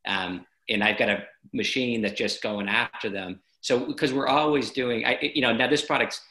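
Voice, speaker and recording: A man's voice: medium pitch, steady tone, moderate pace, pushed and bright. A man hosting a casual interview, talking energetically, rambling and restarting his sentences. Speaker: podcast host. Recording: roomy echo.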